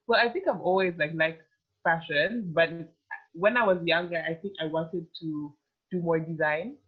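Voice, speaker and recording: A woman's voice: on the low side, a little slow, husky. A woman in her twenties from Uganda, chatting as a guest in a casual interview and rambling with lots of "like" fillers. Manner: rambling, heavy use of "like" fillers. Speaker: guest, fashion model. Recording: tinny phone-like call audio.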